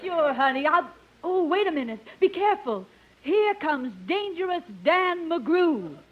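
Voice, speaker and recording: A woman's voice: extremely high pitch, sing-song, unhurried, soft background music, heavily acted. A woman playing a brassy, flirty saloon lady in a 1950s radio comedy, with a coquettish drawl. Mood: coy. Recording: muffled old radio recording.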